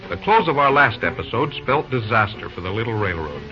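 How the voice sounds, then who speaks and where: A man's voice: medium pitch, very animated, fairly quick. A man narrating a radio serial as its announcer, in a scripted, dramatic, declamatory style. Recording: muffled old-time radio with music. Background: music.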